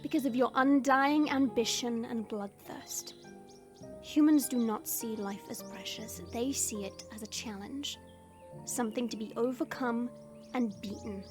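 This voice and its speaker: Haughty dragon princess, played by a woman: high voice, formal and scornful of humans, audio roleplay with music underneath. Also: measured pace, close to an everyday voice. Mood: grave, scornful.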